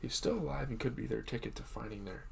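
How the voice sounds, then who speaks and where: A young man, hoarse from just waking up, casually recapping a show episode with a rambling, chatty manner. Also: mid-range voice, quick pace, a little hiss or hum. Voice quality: gravelly.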